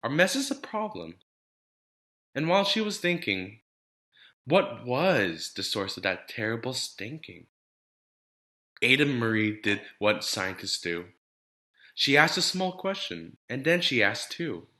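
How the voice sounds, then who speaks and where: A man reads a children's picture book aloud with very lively intonation and a slow, deliberate pace. His voice is bright and clear-cut in emphasis, leaning on each rhyme like a teacher telling a story to a class. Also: mid-range voice. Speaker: elementary teacher reading to students.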